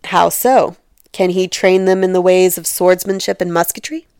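A woman voicing a sardonic, dismissive middle-aged gentleman with a stern, pompous manner in a comic storybook reading. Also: on the low side, fairly even intonation, normal speed, clear, resonant tone, no echo, studio quality, natural voice. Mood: irritated, dismissive.

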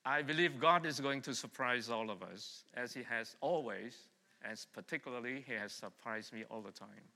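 A man's voice: medium pitch, moderate pace, sharp tone. A middle-aged man from Vietnam preaching a sermon to a congregation, talking in a conversational way and putting questions to the listeners. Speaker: pastor. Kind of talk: sermon with congregation call-and-response. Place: church hall. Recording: long reverb of a church hall.

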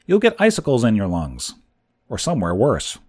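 A wry, patient mother from a storybook who scolds gently, as a man reading aloud puts her on. The voice stays close to the reader's own. Her lines are delivered with a dry, teasing edge. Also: on the low side, wildly swooping pitch, normal speed, breathy edge, heavily acted.

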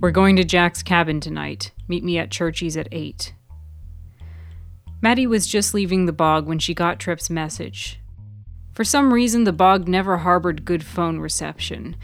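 A woman reading a scripted serial story as its author and narrator. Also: fairly low pitch.

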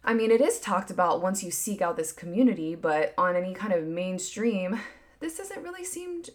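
A woman in her thirties chatting casually and candidly as a podcast host, swinging between emphatic bursts and hushed asides, with lots of filler words. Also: lowish voice, animated, moderate pace. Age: 30s. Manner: frequent filler "like", candid and swearing. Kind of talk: casual opinion chat.

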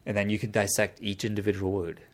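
A man with a very deep, low voice talks through an idea in relaxed conversation. He explains patiently and circles back to restart his sentences as he thinks. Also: fast talker. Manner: thoughtful, explanatory, with frequent restarts. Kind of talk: casual conversation.

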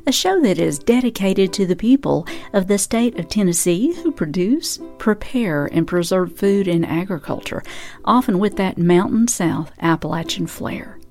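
A woman's voice: medium pitch, animated, normal speed, a little mellow. A woman from the United States reading scripted narration as the host of a radio show and podcast.

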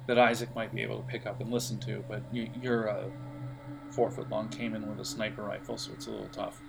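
A man narrating an improvised role-playing game as game master, conversational and pausing to think. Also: lowish voice, flat delivery, gravelly, background noise.

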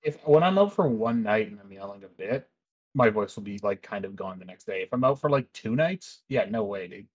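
A man's voice: lowish voice, lively intonation, a little fast, slightly rough, poor audio. A young man co-hosting a podcast, bantering and teasing his friends in casual chat, with laughing asides. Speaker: podcast co-host.

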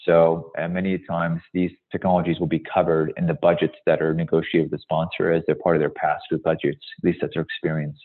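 Very deep-voiced man on a tinny call. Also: little pitch movement, brisk, few pauses, husky. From Hungary. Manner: emphatic, repeats "absolutely critical". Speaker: guest presenter, tech company executive. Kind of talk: webinar presentation and Q&A. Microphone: video call. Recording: tinny phone-like call audio.

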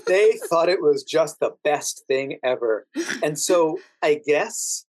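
An American man with a calm, quiet, measured way of talking, speaking conversationally as a podcast guest. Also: fairly high pitch, dry recording, low-quality recording. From Washington DC, United States. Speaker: podcast guest, actor and standardized patient. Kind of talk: conversational storytelling interview.

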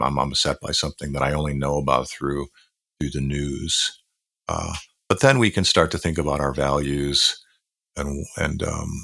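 A man with a very deep voice and a bright, forceful edge talks through a reflective podcast conversation. He is earnest and measured, often restarting phrases. The sound is dry and close. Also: vocal fry, no echo. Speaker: podcast co-host.

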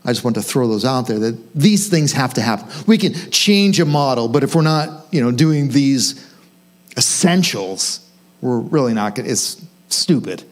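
A man's voice: mid-range voice, animated, normal speed. A man speaking as a senior pastor, giving an informal sermon in a conversational way, questioning himself and stressing key points. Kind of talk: informal sermon to own congregation.

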